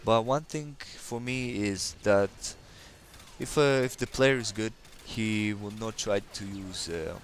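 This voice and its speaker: A man's voice: on the low side, slow pace, a little hiss or hum. A man from Kazakhstan, a native Russian speaker with a slight Russian accent, giving rambling, hesitant gameplay commentary full of fillers. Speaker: gaming channel host.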